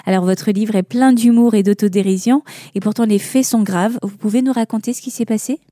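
A woman's voice: medium pitch, fairly even intonation, fairly quick, warm tone, no room sound, clean studio sound. A woman co-hosting a radio show, delivering scripted introductions and interview questions. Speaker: radio co-host.

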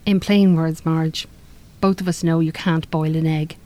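A woman's voice: low voice, steady tone, measured pace, dark tone. An actress with an Irish accent playing a sensible, articulate woman in a live radio play, speaking in a dry, pointed, sarcastic way.

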